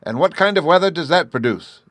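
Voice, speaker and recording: A man voicing a kindly, jolly father who chats loudly and fondly in a storybook reading. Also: a little high, lively intonation, fairly quick, dry recording, clean studio sound, voice pushed up. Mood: admiring. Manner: hearty, loud, fond.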